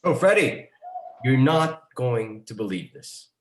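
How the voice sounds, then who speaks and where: Man as eager agent, very animated, echoey room. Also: mid-range voice, takes it slowly, a little hiss or hum. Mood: excited. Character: excited, pushy real estate agent in his thirties. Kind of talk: screenplay table read acting. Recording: roomy, echoing sound.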